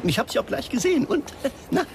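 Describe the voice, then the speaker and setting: A man's voice: extremely high pitch, sing-song, very fast, background noise, exaggerated voice. An actor playing a chatty, nosy male passer-by who rambles eagerly in a German radio crime drama. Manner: garrulous, rambling, ingratiating.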